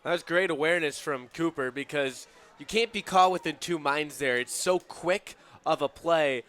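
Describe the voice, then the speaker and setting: A man working as color commentator on a live softball broadcast, explaining the plays in an analytical way. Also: fairly high pitch, moderate pace.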